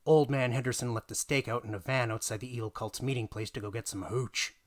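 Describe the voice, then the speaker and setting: A man reading a tall tale as dramatic narration, emphatic and theatrical, swinging between hushed asides and punched lines. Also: medium pitch, steady tone, fairly quick. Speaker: reader of a tabletop gaming tale.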